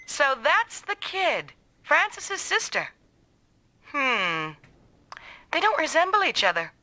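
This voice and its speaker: A brisk, protective woman soldier in an anime game dub. Her voice is fairly high and bright, with expressive intonation and an assured air. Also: slight background noise, heavily acted. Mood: curious. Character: confident, protective female squad mate, adult soldier. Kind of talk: anime-style video game dub acting.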